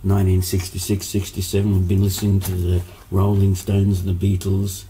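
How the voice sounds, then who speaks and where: Man in his sixties, very dark tone, flat delivery, rambling musician guest. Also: low-pitched, brisk, slight background noise, poor audio. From Hungary. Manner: rambling, lots of fillers and restarts. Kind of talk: casual interview, storytelling.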